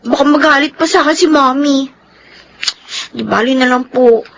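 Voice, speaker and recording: Whiny, high-voiced teenage girl. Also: normal speed, gravelly, a bit bright, a little hiss or hum. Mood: meek, downcast. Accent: Filipino. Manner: whiny, sulky. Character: resentful, envious teenage schoolgirl daughter, self-pitying and whiny. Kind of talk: Filipino radio drama acting.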